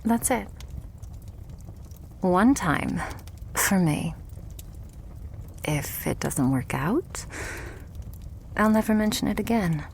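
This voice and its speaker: Slow, breathy vampire girlfriend, played by a woman. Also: mid-range voice, lively intonation, slight background noise. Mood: coaxing. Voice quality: soft, breathy, often whispered. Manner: slow, tender, coaxing, lightly teasing. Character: loving, doting, stubborn female vampire girlfriend. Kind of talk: ASMR roleplay, intimate monologue to the listener.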